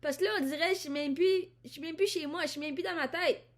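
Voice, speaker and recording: A woman's voice: high-pitched, fast. A woman from Ottawa talks to the camera in a rambling, introspective vlog monologue, often repeating and restarting her phrases. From Ottawa, Canada. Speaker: vlogger.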